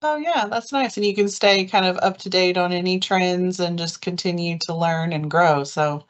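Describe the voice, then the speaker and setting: A woman's voice: lowish voice, normal speed. A woman hosting a live interview, upbeat and encouraging as she introduces a guest and asks about his work, over a video call. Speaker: host, career services director. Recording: dull lo-fi online stream audio.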